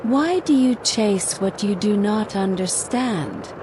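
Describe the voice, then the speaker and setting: Ancient forest spirit, played by a woman storyteller: wise, gentle, slow, rustling; noisy recording. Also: medium pitch, expressive. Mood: commanding. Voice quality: rustling, leafy.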